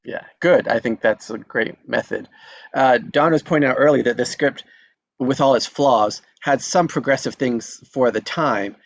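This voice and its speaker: A man's voice: medium pitch, moderate pace, vocal fry, no echo. Male host, affirming tone, dry and slightly dull call audio. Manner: encouraging, affirming. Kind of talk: casual group discussion.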